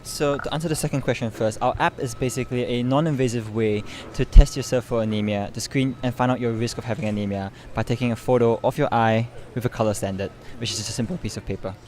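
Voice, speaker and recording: A man's voice: mid-range voice, steady tone, quick pace, few pauses, darkish tone. A young man from Singapore giving informal interview answers as a guest, searching for his words as he goes. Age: young adult. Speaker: interview guest, medical student and competition winner. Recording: clear background noise, urban ambience.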